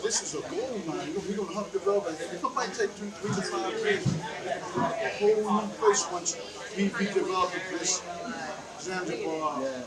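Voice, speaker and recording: High-voiced man in a loud crowd. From New York, USA. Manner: halting, repeats words. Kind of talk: interview answers. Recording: distant mic, echoey room, loud crowd.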